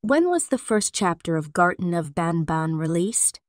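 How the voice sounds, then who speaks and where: Low-pitched woman narrating a quiz. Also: big pitch swings, moderate pace, no echo.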